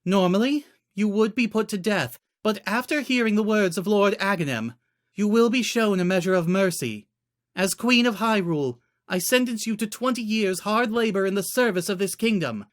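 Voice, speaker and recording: A man puts on a regal queen, pitched well up. She is stern, indignant and imperious, speaking in formal decrees. The sound is dry, in fantasy storybook style. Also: lively intonation, normal speed, no room sound.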